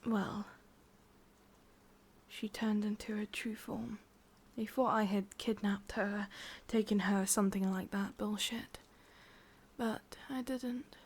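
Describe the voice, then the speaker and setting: A woman with an English accent voicing a sorrowful, obsessive witch in an intimate ASMR roleplay, speaking softly in halting, confiding whispers. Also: mid-range voice. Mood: grieving. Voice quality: soft, breathy, mostly whispered. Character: sorrowful, obsessive female witch, tender yet menacing.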